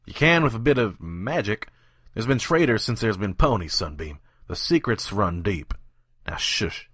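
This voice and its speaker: Man playing a low, world-weary wasteland trader in echo. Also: big pitch swings, moderate pace, fairly bright tone. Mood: instructing, guarded. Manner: folksy, drawling, jaded. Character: world-weary male pony trader wandering a wasteland, gruff narrator. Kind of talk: scripted first-person narration and audio drama. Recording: roomy, echoing, distant mic.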